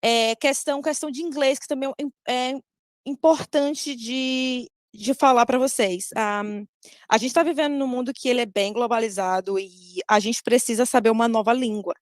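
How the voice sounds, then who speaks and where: A young woman from Brazil with a Brazilian Portuguese accent, her voice sitting a little high. She speaks casually over a video call, pausing often and correcting herself as she goes. The sound is dry and close, with no room echo. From Brazil, Maranhão. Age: young adult. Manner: hesitant, many fillers and self-corrections. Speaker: guest speaker giving advice to students.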